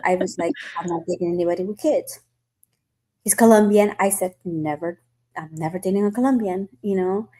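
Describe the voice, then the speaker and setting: A woman's voice: fairly low pitch, a little fast, dark tone. A woman hosting a podcast, telling stories in a chatty, casual way with lots of fillers. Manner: chatty storytelling with many fillers. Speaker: podcast host. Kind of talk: casual chat.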